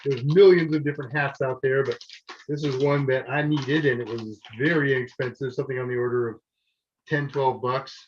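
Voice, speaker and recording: A man's voice: mid-range voice, fairly even intonation, brisk, a little hiss or hum. A man hosting a live tech Q&A stream, chatty and enthusiastic as he shows off gadgets and welcomes guests. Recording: dull, lo-fi stream audio.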